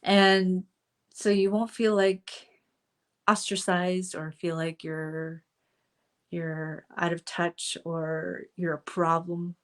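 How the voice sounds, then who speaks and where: A woman's voice: lowish voice, flat delivery, slow pace. Chatty woman with a slight cold. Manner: chatty, with frequent fillers and asides. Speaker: coach hosting a live stream. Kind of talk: casual live-stream talk to viewers.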